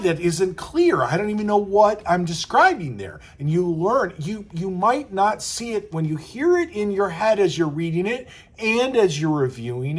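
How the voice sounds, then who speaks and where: A man's voice: high voice, breathy edge. A man talking casually to camera as a screenwriting coach, conversational, acting out little quoted asides as he explains. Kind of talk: casual advice talk to camera.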